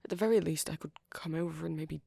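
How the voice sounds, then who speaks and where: Husky, hesitant vampire boyfriend, acted by a man. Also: on the high side, no room sound, clean studio sound, exaggerated voice. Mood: shy, apologetic. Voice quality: soft, breathy, husky, often near whisper. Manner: hesitant, halting, apologetic, gentle. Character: shy, tender young male vampire boyfriend. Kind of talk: intimate ASMR roleplay acting.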